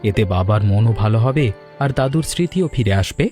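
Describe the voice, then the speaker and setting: A man's voice: medium pitch, animated, fairly quick, warm tone, soft background music, lightly acted. Man acting as earnest grown son, cartoon drama. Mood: calm, reassuring. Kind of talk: Bengali cartoon dialogue.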